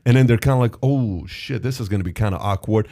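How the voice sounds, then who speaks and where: A man's voice: lowish voice, fast talker, mellow. A man from Iran hosting a podcast, chatting casually and animatedly, exclaiming and acting out quoted voices. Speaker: podcast host.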